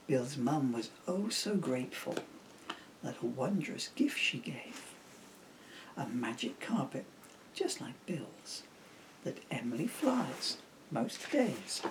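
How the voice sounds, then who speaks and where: A man with very lively, swooping pitch reads like a dad at storytime. He takes his time and slips in self-teasing asides. There is clear noise behind him. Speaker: father reading a children's story aloud. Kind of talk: playful storybook reading with chatty asides.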